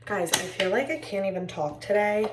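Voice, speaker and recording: Raspy tired young woman vlogging. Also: medium pitch, animated, normal speed, reverberant, distant mic, noisy background. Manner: chatty, rambling, talks to viewers as friends. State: tired and low-voiced. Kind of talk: casual vlog chat. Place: indoors at home.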